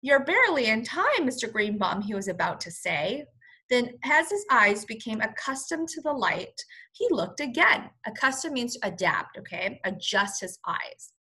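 A woman's voice: on the high side, very animated, unhurried, pushed and bright, husky. A woman teacher reading a children's story aloud expressively, stopping to explain words in simple terms. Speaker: first-grade teacher.

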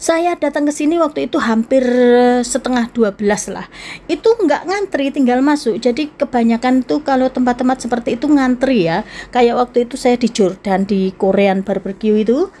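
A woman's voice: on the high side, normal speed, dark tone. A young Indonesian woman vlogging casually about a buffet restaurant, chatty and enthusiastic, talking to her followers. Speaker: food vlogger. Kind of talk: casual food vlog narration. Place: busy indoor buffet restaurant.

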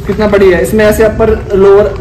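A young North Indian man with a notably high voice pitches wholesale goods to viewers in repetitive, informal patter. He is recorded in a reverberant warehouse with background noise, the camera a little way off. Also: flat delivery, fast, darkish tone. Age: 20s. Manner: repetitive salesman's patter, addressing viewers as friends. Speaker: wholesale clothing seller and vlogger. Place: large indoor warehouse full of clothing bales. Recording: echoey room with clear background noise.

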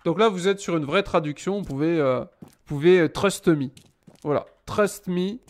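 A man's voice: fairly high pitch, normal speed, darkish tone. A man in his thirties from France, joking with chat while playing a game at a desk mic, teasing and mock-indignant. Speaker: gaming streamer. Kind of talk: casual Let's Play commentary. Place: small room at home.

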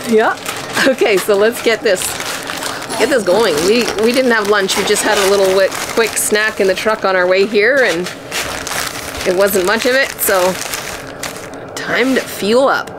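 A woman's voice: mid-range voice, a little slow, noisy background. Chatty woman vlogging on a frozen lake. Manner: chatty, laughs easily. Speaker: outdoor vlogger. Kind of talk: casual vlog narration. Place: frozen lake outdoors, then inside an ice fishing tent.

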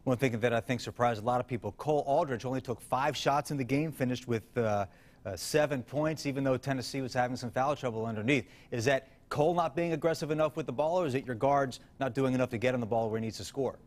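A man in his thirties hosting a TV sports show, putting composed, measured questions to a coach in a studio. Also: mid-range voice. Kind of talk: studio interview.

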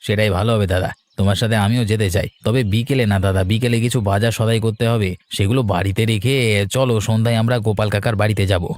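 A man's voice: fairly low pitch, steady tone, fast, a little mellow, no room sound, close to an everyday voice. A man voicing an anxious, deferential younger village farmer in a rustic cartoon story. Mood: concerned. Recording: background music bed.

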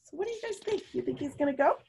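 A middle-aged woman, a teacher, reads a children's story aloud expressively and emphatically, pausing to question and explain. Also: sits high, expressive, darkish tone, slight background noise. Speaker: teacher reading aloud to students. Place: small room at home.

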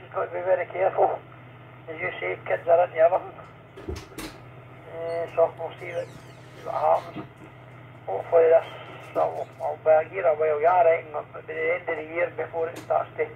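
Over a narrowband radio link with faint noise comes a Scottish man chatting casually. His voice is fairly high and gravelly, like a ham operator passing the time on air. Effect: heard through a two-way radio. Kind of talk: casual two-way radio chat.

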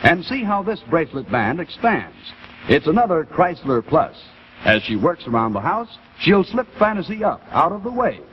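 A middle-aged American man giving a polished, deliberate scripted sales pitch as a 1950s television announcer. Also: sing-song. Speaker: commercial announcer. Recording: muffled 1950s television broadcast with faint noise.

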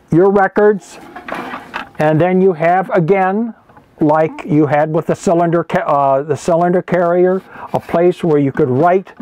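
A man in his seventies giving an informal show-and-tell as an archivist, speaking in a halting, self-correcting, explanatory way. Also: on the high side, a little mellow, slight background noise. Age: 70s. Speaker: archivist presenting a collection. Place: indoor archive room with packed shelves. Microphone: lapel mic.

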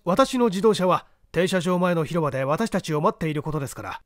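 Man voicing a cunning, polite gentleman thief, animated. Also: on the high side, brisk, no room sound, studio quality, natural voice. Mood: urgent, assured. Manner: polite, smooth-talking, assertive. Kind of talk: audiobook character acting.

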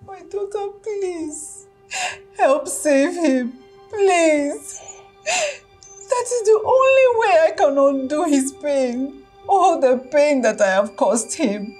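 An actress playing a grief-stricken mother who shouts and pleads through tears. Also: high-pitched, expressive, unhurried, soft background music. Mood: pleading.

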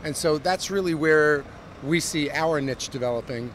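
Middle-aged American CEO, polished business pitch with filler words, outdoor street interview, traffic audible. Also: male voice, normal speed, a bit bright. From United States. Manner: fluent corporate pitch with frequent um and uh. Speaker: company CEO. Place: busy downtown street outdoors. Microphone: handheld mic held by interviewer. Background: city traffic.

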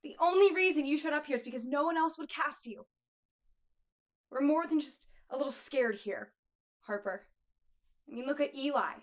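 An actress playing a frank, fed-up young makeup artist in a naturalistic film drama. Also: female voice, high-pitched, moderate pace, pauses often, lightly acted. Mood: exasperated, blunt. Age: 20s. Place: indoors in a wooden cabin.

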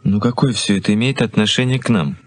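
An actor playing an earnest, skeptical young fiancé in a Soviet radio play, speaking in a measured, dry way. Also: male voice, lowish voice, a little hiss or hum, close to an everyday voice.

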